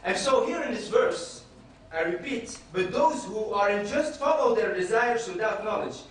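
A man in his thirties, raised in Belgium with Dutch as his first language, giving an earnest, measured lecture from a panel table in a hall, often restarting his phrases. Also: high voice, animated, a little hiss or hum. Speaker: panelist speaker. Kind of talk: public lecture. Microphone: table microphone.

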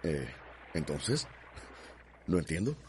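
Eager, flirtatious colonial suitor, radio drama; very low, lively-pitched voice. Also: male voice, slow pace, slight background noise, exaggerated voice. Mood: eager, courting. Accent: Cuban.

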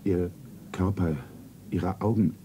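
An actor playing a rich, worldly suitor in a radio play, suave, courtly and romantically earnest. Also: male voice, deep voice, fairly even intonation, slow, dark tone, husky, heavily acted. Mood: courtly, solemn. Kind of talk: 1970s German radio play acting. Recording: noisy 1970s radio play recording.

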